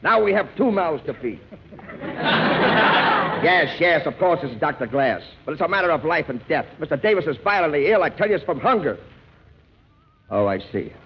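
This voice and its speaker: A man's voice: fairly high pitch, sing-song, moderate pace, pauses often. A man playing a brash, bossy, broke theatrical producer full of schemes, in slangy 1940s radio comedy style. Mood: scheming. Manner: bossy, slangy, smooth-talking. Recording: dull 1940s radio recording with hiss.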